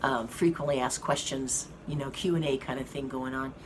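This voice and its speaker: A hoarse woman giving a slow, halting update to her viewers from a hospital room. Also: rumbling low, fairly even intonation. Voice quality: slow, halting speech with many fillers. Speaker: vlogger giving a health update. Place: hospital rehab room with an air conditioning fan. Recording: noisy room with fan hum. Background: air conditioning fan.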